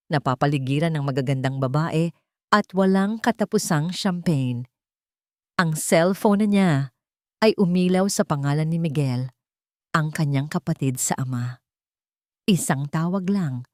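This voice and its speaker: A woman narrating a scripted romance story in a measured, dramatic way, phrase by phrase. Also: deep voice, darkish tone, no room sound, studio quality.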